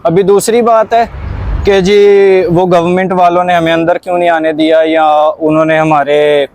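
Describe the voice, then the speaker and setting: A man's voice: sits high, level tone, normal speed, a little mellow, slight background noise. A man with a Pakistani accent talking in a conversational discussion, explaining earnestly and repeating phrases for emphasis.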